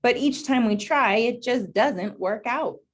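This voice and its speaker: A woman's voice: on the high side, measured pace, a little hiss or hum, voice pushed up, lightly acted. A woman voicing a male blue paint splatter from a storybook, childlike and earnest, keen but easily made glum. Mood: pouting.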